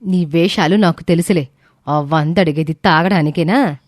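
Woman acting scolding mother-in-law, lowish voice. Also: normal speed, dry recording, clean studio sound, close to an everyday voice. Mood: scornful. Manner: sharp-tongued, sarcastic. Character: scolding, exasperated middle-aged mother-in-law.

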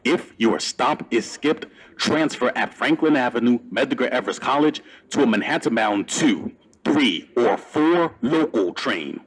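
A man with a somewhat husky, unpolished voice delivers scripted station service announcements. He sits at a medium pitch and speaks formally and in a measured way, as a transit announcer. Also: lively intonation, poor audio.

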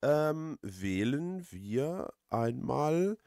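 A man giving casual, halting gameplay commentary as a let's player. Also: medium pitch, sing-song, vocal fry, darkish tone, dry recording, studio quality. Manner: hesitant, halting, frequent drawn-out pauses.

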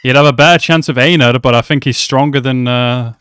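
A man's voice: mid-range voice, steady tone, brisk, a bit bright, clear, resonant tone, dry recording, studio quality. A young man casually reacting to an anime episode, chatty and swearing a lot, calling the characters bro. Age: young adult. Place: small room at home. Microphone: headset mic.

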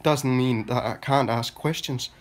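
A man in his twenties with a Danish accent and a stuffy nose from a cold, musing in a halting, rambling monologue full of restarts, recorded on a phone held at arm's length in a small room. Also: mid-range voice. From Denmark. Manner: halting, many restarts and filler sounds. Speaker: vlogger. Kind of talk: rambling personal monologue to camera.

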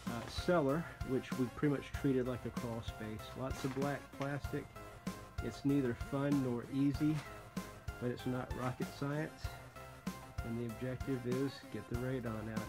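Man, radon installer, casual how-to walkthrough, plain-spoken and joking, phone recording, background music. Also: mid-range voice. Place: old house cellar and outdoors.

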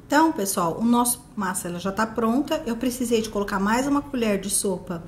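A woman's voice: fairly high pitch, expressive, brisk, reverberant, a little hiss or hum. A middle-aged Brazilian woman presenting a recipe step by step to viewers in a home kitchen. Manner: simple step-by-step explaining, addressing viewers directly. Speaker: cooking channel host. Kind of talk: recipe demonstration.

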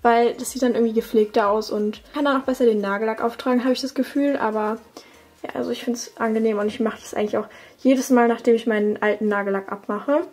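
A young woman vlogger chats fast at her desk, her slightly high voice a little breathy. She rambles casually, correcting herself and adding fillers as she goes. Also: fairly even intonation, a little mellow. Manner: chatty, with fillers and self-corrections. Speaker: beauty vlogger. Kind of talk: casual tutorial chat. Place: desk at home.

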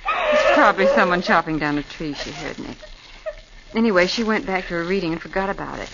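A practical, steady assistant. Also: female voice, fairly low pitch, brisk. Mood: matter-of-fact. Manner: matter-of-fact, reassuring. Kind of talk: 1940s radio acting. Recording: dull old radio recording with faint hiss.

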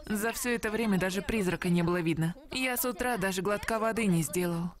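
A woman's voice: mid-range voice, a little fast, close to an everyday voice. A woman voicing a brash, curious teenage boy in a dubbed fantasy cartoon, chatty and cocky. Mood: impatient, curious. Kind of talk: Russian dub of animated fantasy series.

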